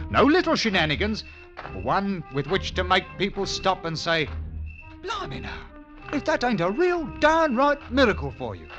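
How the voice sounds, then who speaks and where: Excitable, pompous Cockney man. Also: sits high, exaggerated voice. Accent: English Cockney. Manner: pompous, blustering, ungrammatical with grand words. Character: pompous, excitable working-class Englishman who thinks himself scientific. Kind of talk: old-time radio comedy acting, first-person storytelling. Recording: vintage 1940s-50s radio recording.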